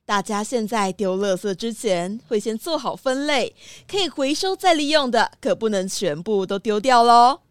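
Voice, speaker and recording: A woman's voice: fairly high pitch, expressive, moderate pace, clean tone, no echo, clean studio sound, natural voice. A woman doing a fussing, motherly town mayor. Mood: proud. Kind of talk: children's radio drama acting.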